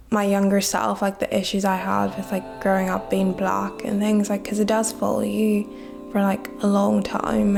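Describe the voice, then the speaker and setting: 19 yr old woman from nigeria, says like constantly, gravelly low-key voice, kinda flat. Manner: heavy use of "like" as filler, reflective. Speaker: guest. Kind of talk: casual interview.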